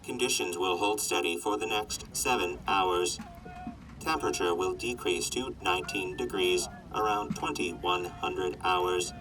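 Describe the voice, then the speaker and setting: A man voicing a weather-forecasting robot that reads data in a flat, methodical way. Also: deep voice, unhurried. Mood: reporting. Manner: flat, methodical report delivery. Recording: distant voice over loud music.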